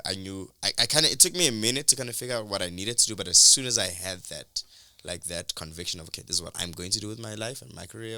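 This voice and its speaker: A young man from Durban chats through his story as a guest, loose and rambling, full of ums and restarts. His voice sits low with a gravelly edge but a bright, forward tone. The sound is close and dry. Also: no room sound. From South Africa, Durban. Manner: relaxed, rambling with fillers and restarts. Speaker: musician guest. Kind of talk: casual interview storytelling.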